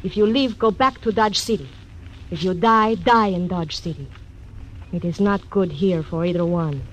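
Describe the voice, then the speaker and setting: A woman's voice: on the low side, normal speed, a little hiss or hum, close to an everyday voice, rough recording. An actress voicing a mysterious, teasing young Mexican woman with a Spanish accent, in 1940s radio acting style. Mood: teasing, fatalistic.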